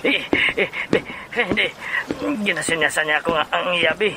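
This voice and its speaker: A man with a Western Visayan Filipino accent playing a terrified henchman in an audio drama, stammering, halting and repeating himself as he pleads. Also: fairly high pitch, heavy background noise, close to an everyday voice. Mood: frightened, pleading. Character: terrified henchman guarding a captive, cowed and pleading. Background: loud music.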